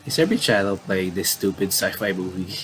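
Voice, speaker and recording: A man with a fairly low voice plays through a story game, reading the dialogue aloud and adding casual remarks. He often trips on a phrase and repeats it. There is some noise on the recording. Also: expressive, a little fast. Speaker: gaming commentator reading a visual novel aloud.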